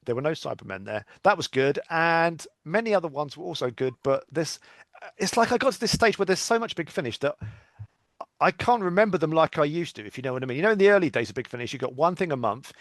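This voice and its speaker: A man's voice: a little high, lively intonation, quick pace, dry recording. A man talking as a podcast guest in a casual, informal fan chat, chatty and a little self-deprecating. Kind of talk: casual chat about sci-fi audio dramas.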